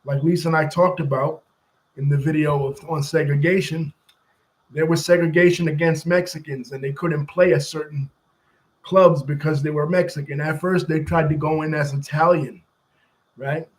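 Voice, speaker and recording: A man with a slightly raised speaking pitch gives an informal, explanatory commentary. He lays out background piece by piece in a relaxed conversational tone and turns aside to talk with people off camera. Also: normal speed, poor audio. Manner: conversational, explains history step by step. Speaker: commentary channel host.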